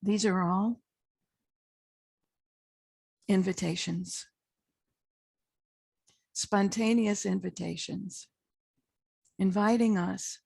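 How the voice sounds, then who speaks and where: A woman leading a guided meditation as a spiritual teacher, speaking in short, measured phrases with long pauses and a husky, gravelly voice. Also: mid-range voice, darkish tone, dry recording.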